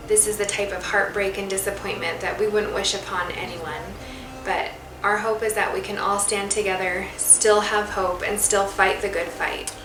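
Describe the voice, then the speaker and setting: Earnest woman in her thirties, roomy and distant. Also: medium pitch. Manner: earnest and sincere. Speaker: wife sharing her infertility story. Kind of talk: heartfelt personal storytelling.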